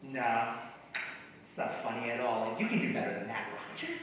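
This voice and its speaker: A man acting an excitable, wisecracking writer, echoing hall. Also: medium pitch, moderate pace. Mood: teasing. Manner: wisecracking, theatrical, swings from mutters to outbursts. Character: ailing, wisecracking male comedy writer, 39, grouchy and excitable. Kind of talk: live one-act stage comedy acting. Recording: echoey hall, distant mic, faint noise.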